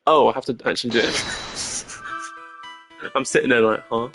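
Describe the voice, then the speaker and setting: A man's voice: medium pitch, fairly even intonation, normal speed, fairly bright tone, soft background music. A man streaming a video game, commenting casually as he plays.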